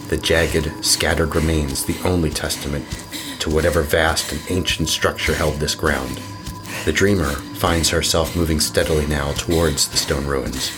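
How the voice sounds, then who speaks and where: A man narrating a horror audio drama in a slow, measured storytelling manner. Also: very deep voice, low-quality recording.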